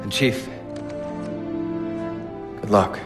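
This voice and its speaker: An actor playing a steady, loyal military officer in a video game, earnest and measured. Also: male voice. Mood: earnest, grave. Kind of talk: cinematic game acting. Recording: music behind the voice.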